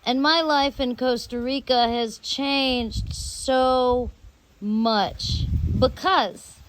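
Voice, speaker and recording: American lady rambling thru personal stories, high voice, really slow and halting w lots of pauses. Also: fairly even intonation, low-quality recording. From United States. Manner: drawn-out, halting phrasing with long pauses. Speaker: storyteller host.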